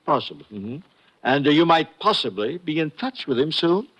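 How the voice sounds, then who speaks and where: An actor playing a smooth nightclub boss in a 1950s radio drama, falsely courteous and quietly menacing. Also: male voice, fairly high pitch, big pitch swings, moderate pace, full character voice. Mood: veiled threat. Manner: unctuous, hesitant fillers, echoes others' words.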